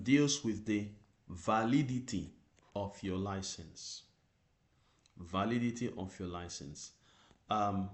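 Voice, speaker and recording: A man teaching driving theory, reading out Italian rules and explaining them slowly in English with lots of repetition. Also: medium pitch, roomy sound. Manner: reads Italian text then explains it in English, repeating terms. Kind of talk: slow explanatory lesson.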